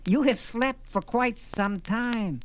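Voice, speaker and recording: An actor playing a small, shriveled, scheming desert slave trader in a 1970s audio drama, with an oily, theatrical manner. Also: male voice, very high-pitched, slow, slight background noise. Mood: smug, oily.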